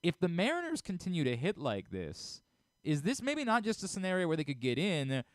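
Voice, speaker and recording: A man's voice: a little high, wildly swooping pitch, brisk, no room sound, clean studio sound. An American man hosting a sports radio show, putting questions to a guest in a conversational style. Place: dry radio studio.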